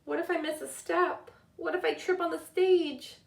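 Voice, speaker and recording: A woman's voice: very high voice, steady tone, normal speed, gravelly, reverberant, distant mic, voice pushed up. A woman voicing a nervous young girl who dreams of being a ballerina, in a storybook reading. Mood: anxious, nervous. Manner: anxious self-questioning.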